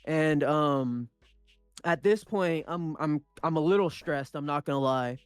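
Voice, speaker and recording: A man's voice: fairly high pitch, a little slow, smooth, no room sound, studio quality, faint music behind. Young man rambling through a story. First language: Punjabi. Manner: rambling, many restarts and swearing. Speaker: podcast co-host. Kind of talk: casual storytelling chat.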